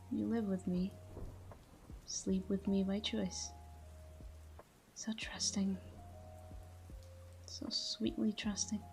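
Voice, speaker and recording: A caring but tormented vampire woman played by an actress murmurs to her lover in an ASMR roleplay. Her tone is dark and velvety, with little rise or fall, often dropping toward a whisper. Faint music runs behind her. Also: mid-range voice. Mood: tender menace. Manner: soft, tender, breathy murmur slipping into whispers. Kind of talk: intimate scripted ASMR roleplay monologue.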